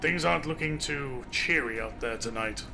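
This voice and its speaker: A man's voice: mid-range voice, normal speed, gravelly, pushed and bright, faint music behind. A man playing a courteous but probing marshal in an audio drama, speaking formally. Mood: grave.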